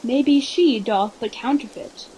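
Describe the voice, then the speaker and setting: A woman takes the part of a courtly prince in Shakespearean radio theatre. The voice is fairly high, playful and scheming, with the manner of a leader. A faint noise runs under the home recording. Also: slow, warm tone, low-quality recording. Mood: feigned concern.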